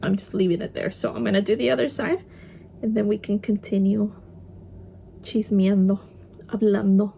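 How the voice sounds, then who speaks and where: A woman's voice: medium pitch, fairly even intonation, moderate pace, gravelly, pauses often, mellow, slight background noise. Woman, young adult, Spanish accent, native Spanish speaker, casual chat, talks while applying makeup. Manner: chatty, mixes in Spanish words. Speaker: vlogger. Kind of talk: casual get-ready-with-me chat.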